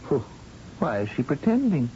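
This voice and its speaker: An actor playing an earnest, dutiful space flight controller in a 1980 radio drama, reporting in clipped, urgent phrases. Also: male voice, fairly high pitch, sing-song, normal speed, dark tone. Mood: puzzled, intrigued. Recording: dull lo-fi old radio recording, faint noise.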